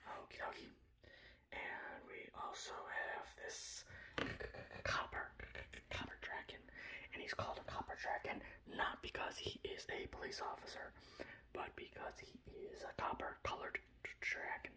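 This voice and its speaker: A man speaking in a breathy whisper, presenting his hobby in a casual, rambling ASMR style. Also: unhurried. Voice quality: breathy whisper with soft low speech. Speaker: hobbyist miniature painter presenting his collection. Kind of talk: whispered ASMR show-and-tell.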